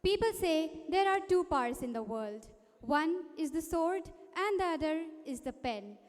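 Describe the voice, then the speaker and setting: High-voiced, very slow-speaking woman in a reverberant hall. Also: expressive. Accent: Indian. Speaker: presenter at a school stage event. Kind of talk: scripted, declamatory stage introduction.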